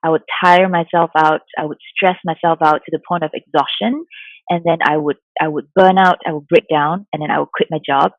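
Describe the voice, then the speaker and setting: Mindfulness coach from Singapore, a woman in her forties, soft-spoken and reflective, muffled dry recording. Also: low voice, steady tone, brisk, dry recording. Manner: soft-spoken, thoughtful, many fillers. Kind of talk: interview answers. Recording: dull lo-fi sound, dry.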